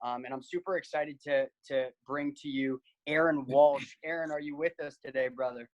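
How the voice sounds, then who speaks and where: A man's voice: a little high, normal speed, lo-fi sound. Hyped-up male video-call host. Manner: energetic, hype, frequent fillers. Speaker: podcast co-host. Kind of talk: upbeat interview hosting.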